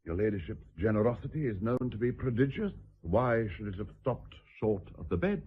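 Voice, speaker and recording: An actor playing a discreet, courtly gentleman confidant in a radio drama, speaking formally with dry, tactful irony. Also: on the low side, lively intonation, husky. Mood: dry, deferential. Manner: formal, measured wording, dryly ironic. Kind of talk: restrained radio drama acting. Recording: thin, phone-like band-limited radio drama audio.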